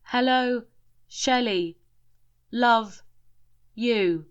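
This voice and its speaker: A woman voicing a gentle pleading male ghost. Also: medium pitch, fairly even intonation, takes it slowly, lo-fi sound, close to an everyday voice. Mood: tender. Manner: pleading, tender. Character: gentle ghost of a devoted husband.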